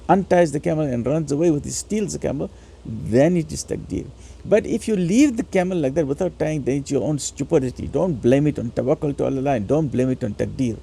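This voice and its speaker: A man with a raspy voice giving a motivational religious lecture, urging listeners emphatically and repeating phrases for stress. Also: a little high, warm tone. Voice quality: raspy, gravelly.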